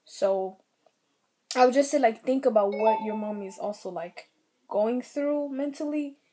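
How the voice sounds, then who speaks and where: Slightly raspy young woman, earnest vlogger. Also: a little high, measured pace, dark tone, a little hiss or hum. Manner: earnest, rambling, many fillers. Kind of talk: casual commentary vlog.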